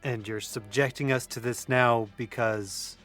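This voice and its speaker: A man's voice: mid-range voice, fairly even intonation, a little slow, a bit bright, soft background music, lightly acted. An actor playing a competitive, sarcastic young gamer who teases his friends in an audio drama. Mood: teasing. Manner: casual, teasing.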